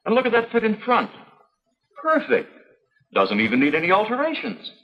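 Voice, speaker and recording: An actor playing an overbearing clothing salesman with loud sales patter, in a 1950s educational film. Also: high-pitched, huge pitch swings, moderate pace, heavily acted. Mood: pushy. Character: pushy, brash clothing salesman. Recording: dull old film soundtrack.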